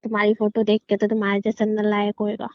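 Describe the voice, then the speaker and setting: A woman's voice: medium pitch, completely flat delivery, quick pace, close to an everyday voice. A woman playing an irritable, teasing pregnant wife who scolds and bosses her husband in a naturalistic audio drama. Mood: teasing scolding. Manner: scolding, bossy, playfully exasperated. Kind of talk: naturalistic Hindi audio drama of couple banter. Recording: dull lo-fi audio.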